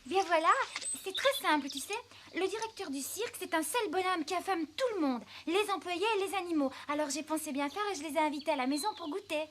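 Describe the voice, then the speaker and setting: A woman voicing an eager, chatty young girl in a French animated film, earnest and excitable. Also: extremely high pitch, quick pace, slight background noise. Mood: eager explaining. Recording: music behind the voice.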